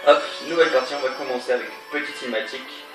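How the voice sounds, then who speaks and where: A man's voice: medium pitch, rapid-fire. Guy w a stuffy cold nose rambling thru a let's play, lots of ums, echoey room, game music playing. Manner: rambling, hesitant, many fillers and restarts. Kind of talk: casual gameplay commentary. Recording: roomy, distant mic, game music behind.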